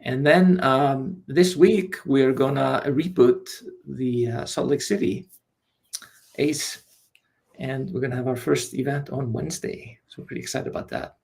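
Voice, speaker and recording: A man in his forties from Iceland with a mid-range voice, talking as a webinar guest. He is relaxed and a little hesitant, with lots of small pauses and fillers. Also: medium pitch, gravelly. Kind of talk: casual interview.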